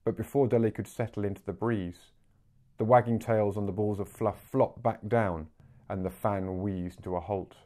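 A man in his forties reading a story aloud as an expressive audiobook narrator. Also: lowish voice, normal speed. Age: 40s. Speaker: book narrator. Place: small living room, indoors.

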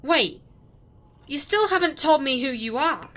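Actress doing a sceptical young brit woman in an audio drama, swoopy bright voice, wry and incredulous. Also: sits high, expressive, a little slow. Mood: groggy, baffled. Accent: British. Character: sceptical, bewildered ordinary young British woman. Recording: music under the voice.

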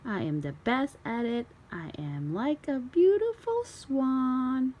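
Lady doing a bossy little girl pig for a kids story, eager, pitched up, bouncy range. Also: lively intonation, slow, slightly rough, dull audio, full character voice. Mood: proud.

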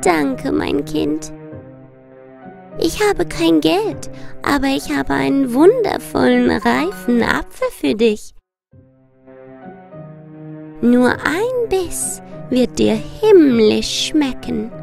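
A woman playing a scheming old witch in a children's fairy tale, with a drawn-out, theatrical delivery. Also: high voice, animated, gravelly, heavily acted. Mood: sly, pleading. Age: older. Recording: long reverb, music behind.

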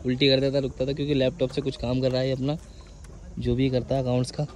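A man's voice: medium pitch, level tone, quick pace, a little mellow. A man, chatting casually and slangily as a travel vlogger, often repeating his phrases. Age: 20s. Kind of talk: casual travel vlog chat. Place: outdoors on hillsides and in a car. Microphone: phone at arm's length. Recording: noisy outdoor phone recording with vehicle sounds.

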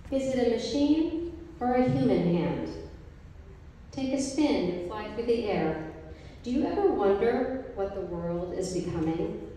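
A middle-aged woman reading a group poem aloud from paper pages at a gallery event, in a slow, measured recitation. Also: medium pitch, lively intonation, mellow, far from the mic, a little hiss or hum. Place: art gallery, indoors, mid-sized room. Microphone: handheld mic, filmed from a distance. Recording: echoey gallery room, from afar.

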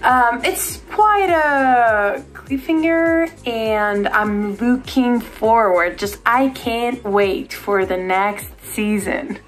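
Very slow-spoken young woman from Georgia. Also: fairly high pitch, soft background music. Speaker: viewer giving opinions.

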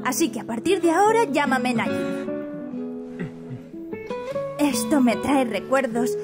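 A woman with an accent from Spain voicing a bubbly, teasing high school student in an anime fan dub, breezy and slangy. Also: sing-song, unhurried. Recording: music bed under voice.

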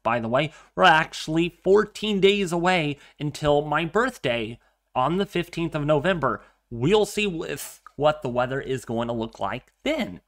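A man's voice: fairly high pitch, a bit bright. Congested young man giving a weather update. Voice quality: congested, a little nasal. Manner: earnest, repeats himself, many fillers. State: getting over a cold. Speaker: weather forecaster. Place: home office, indoors. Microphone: webcam framing at desk.

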